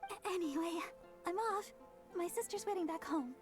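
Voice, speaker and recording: Very high-pitched, flustered young deaconess. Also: female voice, normal speed. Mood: flustered, polite. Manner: hesitant, stammering. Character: shy, flustered, sweet young deaconess, girlish. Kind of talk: video game voice acting. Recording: game music behind voice.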